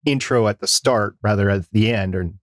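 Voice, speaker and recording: A man's voice: on the low side, normal speed, no room sound, studio quality. A man co-hosting a podcast, giving semi-scripted advice with casual asides. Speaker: podcast co-host.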